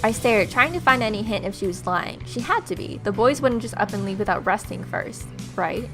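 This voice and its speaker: A woman's voice: mid-range voice, expressive, fairly quick. A young adult woman hosting a let's play, reading visual novel text aloud expressively with casual, sarcastic reactions. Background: game music.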